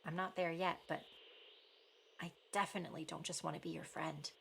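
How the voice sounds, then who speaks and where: Conflicted young woman in a radio play, lots of vocal fry, halting and guarded, bit of hiss. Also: low voice, fairly even intonation. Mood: regretful, pleading. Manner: hesitant, halting, blunt when rattled. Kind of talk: naturalistic radio play acting.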